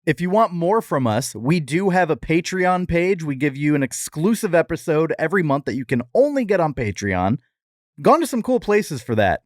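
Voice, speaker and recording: A man's voice: a little high, sing-song, normal speed, no room sound, clean studio sound. A man co-hosting a comedy podcast in casual, conversational talk. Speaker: podcast co-host.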